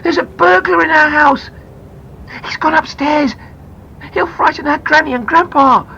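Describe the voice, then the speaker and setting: A woman voicing an excitable, easily alarmed young boy in a children's storybook, urgent and exclamatory. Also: high voice, unhurried, creaky, lo-fi sound, a little hiss or hum, pitched above the performer's own voice, full character voice. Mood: alarmed shouting.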